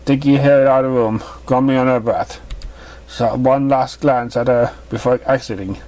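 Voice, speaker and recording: Casting: amateur male story narrator, medium pitch, uneven read with stumbles and misreadings. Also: steady tone, moderate pace, darkish tone. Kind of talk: fan fiction story narration.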